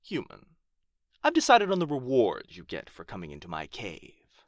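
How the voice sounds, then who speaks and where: A man acting a proud, wounded, menacing dragon in an ASMR roleplay, taunting theatrically with drawn-out words and whispers. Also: medium pitch, huge pitch swings, dry recording. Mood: sadistic, toying. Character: proud, menacing, wounded male dragon guarding his gold.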